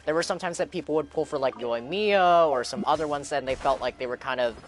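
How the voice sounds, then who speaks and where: A man's voice: fairly high pitch, fairly quick. A young man giving casual live commentary while streaming a game and chatting with viewers. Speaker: game livestreamer. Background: video game music.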